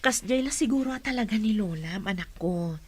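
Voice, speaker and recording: A woman playing a gentle, submissive mother with a Filipino accent and a husky voice, placating in a radio drama. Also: medium pitch, lively intonation, brisk.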